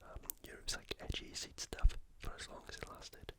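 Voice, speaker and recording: An ASMR host speaking casually in a breathy, unvoiced whisper, giving commentary on sport and gameplay. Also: normal speed. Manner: casual, conversational.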